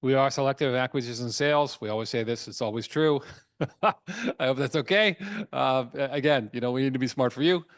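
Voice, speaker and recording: Male executive, mid-pitched, halting but emphatic business presenter with frequent word repeats, dry recording. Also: dry recording. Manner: halting, repeats words and restarts often. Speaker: company chairman. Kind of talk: earnings call presentation, semi-scripted business talk.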